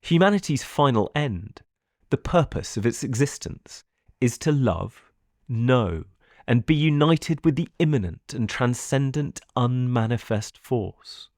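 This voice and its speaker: A man's voice: mid-range voice, lively intonation, a little mellow, no room sound. Man narrating philosophy in a dry, close recording. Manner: measured, formal reading. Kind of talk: scripted philosophical audiobook narration.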